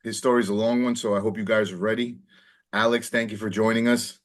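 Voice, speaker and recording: Low-voiced man hosting an interview. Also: flat delivery, fast talker. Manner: upbeat welcoming then direct questioning. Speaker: host.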